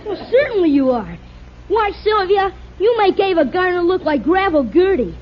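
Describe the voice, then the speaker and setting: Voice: extremely high pitch, big pitch swings, normal speed. An actor playing an eager, cocky teenage boy in a 1950 radio family comedy, exclaiming his lines. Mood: cocky, showing off. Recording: dull noisy old radio with studio audience.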